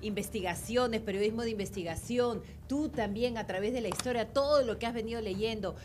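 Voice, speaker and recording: A woman's voice: fairly high pitch, a little fast, darkish tone, a little hiss or hum. A woman with a Peruvian Spanish accent co-hosting a political talk show, speaking earnestly and emphatically in casual discussion.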